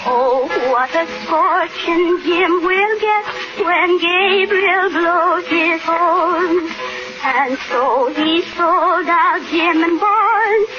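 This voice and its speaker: A grieving, humble dance hall gal in an old radio Western. Her voice is very high and unhurried, with earnest pleading. The old recording is muffled, with music. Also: heavily acted. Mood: mournful singing. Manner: hesitant, pleading, polite.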